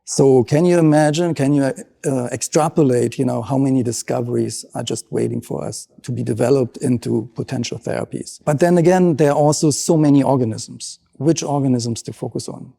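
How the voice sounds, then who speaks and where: A man's voice: mid-range voice, fairly even intonation, moderate pace, vocal fry, few pauses, dark tone. A middle-aged man with a German accent, a marine scientist explaining his work in an interview with earnest enthusiasm.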